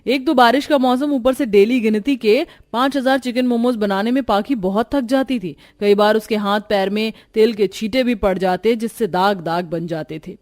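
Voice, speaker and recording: A woman with a high voice narrates a cartoon story. Her delivery is scripted storytelling. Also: moderate pace, few pauses, a little mellow.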